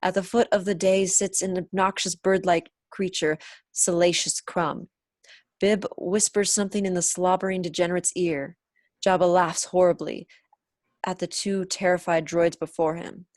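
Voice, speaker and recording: Low-voiced young woman narrating a script. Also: level tone, normal speed, a little mellow, no room sound, studio quality. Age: young adult. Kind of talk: scripted narration, reading stage directions. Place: room at home, on a video call.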